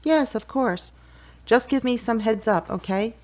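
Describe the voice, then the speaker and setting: A woman's voice: on the high side, unhurried, a little mellow, lightly acted. A woman playing a supportive, practical best friend in an audio drama. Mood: caring.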